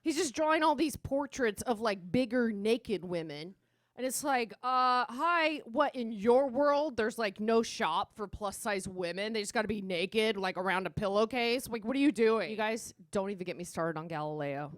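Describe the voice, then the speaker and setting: A woman's voice: fairly high pitch, normal speed, no echo, close to an everyday voice. A woman playing a gossipy Renaissance-era lady doing a sarcastic, conversational stand-up routine peppered with "like". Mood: gossipy, indignant. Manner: conversational valley-girl sarcasm, full of "like".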